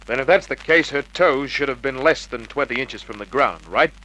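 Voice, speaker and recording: A man's voice: medium pitch, moderate pace, bright, forceful tone. A male actor playing a wry private investigator who narrates his case in clipped, matter-of-fact Australian tones, in an old radio detective drama. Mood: dry, questioning. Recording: noisy vintage radio drama recording.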